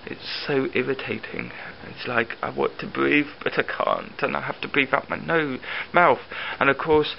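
A man's voice: a little high, creaky, a little hiss or hum. Bunged-up young man vlogging. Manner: chatty, laughs often, whinging. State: blocked nose from a cold. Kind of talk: casual daily vlog chat. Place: bedroom at home, later a busy cafe. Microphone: handheld camera at arm's length. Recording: slightly dull lo-fi camera audio, busy crowd.

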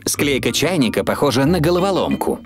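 A man's voice: mid-range voice, sing-song, fairly quick, natural voice. A man narrating a preschool cartoon as a friendly storyteller, in simple explanatory phrases. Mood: storytelling. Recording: music behind the voice.

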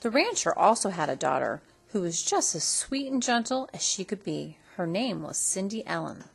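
Animated, husky woman reading a storybook. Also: medium pitch, moderate pace. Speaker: storybook reader. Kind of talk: expressive children's story read-aloud.

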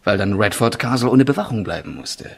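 Confident detective sergeant, low creaky voice, husky, precise explanatory delivery, seventies radio play. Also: male voice, lively intonation, brisk, exaggerated voice. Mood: confident, explaining. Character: eager, self-assured male Scotland Yard sergeant and crime writer. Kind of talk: 1970s German radio crime play acting.

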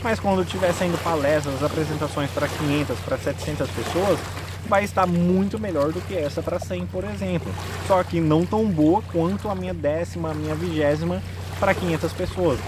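A man's voice: fairly high pitch, brisk. A man in his twenties with a Brazilian accent, explaining things informally to camera as a vlogger. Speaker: vlogger giving nutrition advice. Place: outdoors in a sunny park-like area. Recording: noisy outdoor recording, clear background noise.

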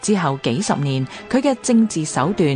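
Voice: mid-range voice, very animated, normal speed, darkish tone. A narrator with a Hong Kong Cantonese accent reading a scripted historical radio narration. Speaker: documentary narrator. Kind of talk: scripted dramatic narration with read quotations. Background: music.

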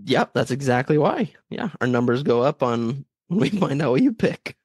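A man co-hosts a horror podcast and sounds a bit stuffy and nasal from a cold. His pitch swings a lot as he teases and jokes at his own expense. The close mic sounds dry and near. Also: big pitch swings, moderate pace, darkish tone, husky, dry recording. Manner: playful, self-mocking, laughs a lot. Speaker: podcast co-host. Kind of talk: casual movie podcast chat.

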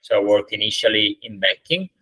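A man in his thirties from Italy with an Italian accent, answering interview questions over a video call in a measured way, with many ums and uhs. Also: fairly low pitch, animated, bright, forceful tone, breathy edge, poor audio. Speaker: startup founder, guest. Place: indoors, plain room. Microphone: video call webcam.

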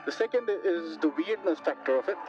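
A man with a Pakistani accent chatting casually and haltingly as he vlogs from a moving motorbike. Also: on the high side, expressive, music in the background. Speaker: motovlogger. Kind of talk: casual vlog chat while riding. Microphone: lavalier into action camera. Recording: muffled lavalier audio, loud traffic noise. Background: engine, wind and traffic noise.

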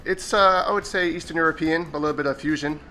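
A man's voice: on the high side, steady tone, quick pace, bright, forceful tone. A man, a restaurant's executive chef, answering interview questions hesitantly with many fillers. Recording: faint background music.

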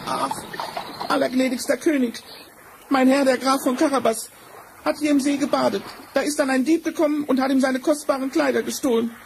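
A man's voice: extremely high pitch, fairly even intonation, fairly quick, vocal fry. A man playing a sly, bossy talking tomcat in a fairy-tale radio play, theatrical and wheedling. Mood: pleading. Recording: noisy vinyl record transfer.

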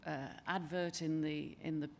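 An older woman giving a conference presentation at a lectern in a large wood-panelled hall, speaking in a measured, conversational way with frequent ums and restarts. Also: deep voice, flat delivery, slight background noise. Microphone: lectern microphone.

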